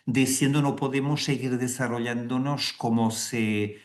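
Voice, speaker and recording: Slow Portuguese-accented priest, echoey room. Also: male voice, medium pitch, fairly even intonation. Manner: hesitant, restarts words, many "eh" fillers. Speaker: Jesuit priest, webinar speaker. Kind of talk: unhurried webinar presentation. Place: indoors in Rome. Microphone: video call.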